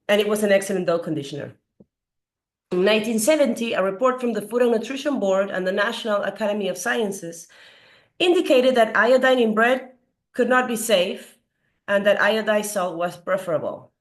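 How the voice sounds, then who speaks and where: Slightly hoarse woman lecturing. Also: mid-range voice, moderate pace. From Peru. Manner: earnest, questions the audience with frequent fillers. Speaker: doctor giving a health talk. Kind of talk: explanatory lecture.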